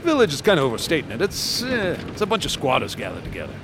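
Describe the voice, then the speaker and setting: A wisecracking old-time trooper detective who laughs through his own tall tales, his voice lively and springing up and down. A car engine rumbles steadily behind him in this radio play. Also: fast, gravelly, background noise, exaggerated voice. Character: boastful wisecracking male state trooper detective. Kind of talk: radio drama acting.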